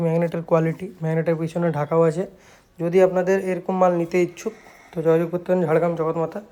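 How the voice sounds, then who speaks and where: A man's voice: sits high, darkish tone. A man with a West Bengali accent giving an informal product showcase, repeating his sales points and often adding little check-in tags. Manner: repetitive sales talk with frequent check-in tags. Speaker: shop owner presenting own speakers.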